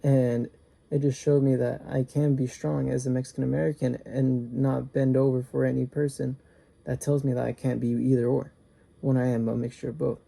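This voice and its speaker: A young man giving an earnest class speech, halting at times and restarting his sentences. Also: mid-range voice, flat delivery, vocal fry, dark tone. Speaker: student.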